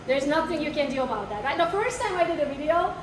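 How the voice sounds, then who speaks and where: A woman's voice: sits high, animated, fairly quick. A woman with an accent teaches a live workshop for realtors. She speaks bluntly, swears now and then, and keeps asking the room questions. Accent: foreign. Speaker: real estate marketing trainer. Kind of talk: live workshop talk to an audience. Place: training room with a live audience. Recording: echoey room, mic far off, noisy.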